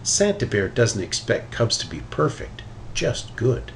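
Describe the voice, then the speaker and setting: A sensible, gently fretting mother bear from a picture book, voiced by a man. The lines rise and fall expressively, with light background noise. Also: on the low side, wildly swooping pitch, measured pace, gravelly, exaggerated voice. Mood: calm, reassuring.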